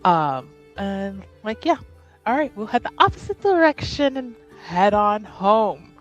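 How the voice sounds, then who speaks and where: Animated woman playing a tabletop roleplaying game. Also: mid-range voice, unhurried, soft background music. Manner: playful, animated. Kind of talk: casual chat, improvised in-character play.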